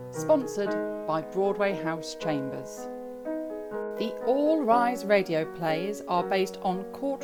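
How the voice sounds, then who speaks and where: A woman reading a scripted introduction to a radio play in a measured, formal manner. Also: mid-range voice. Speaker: announcer. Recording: piano music behind.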